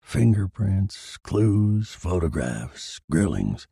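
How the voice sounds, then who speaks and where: A man voicing an irked, tough police detective with a gruff, weary manner in a noir crime story. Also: low-pitched, big pitch swings, drawn-out words, warm tone, no echo, studio quality, full character voice.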